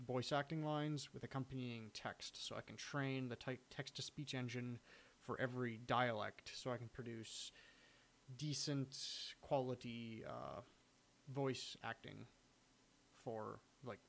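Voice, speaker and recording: A man giving a casual, unscripted screen-recording walkthrough of his own software, halting as he thinks aloud. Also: mid-range voice, vocal fry. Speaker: software hobbyist demonstrating own add-on. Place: indoors, at a computer recording the screen.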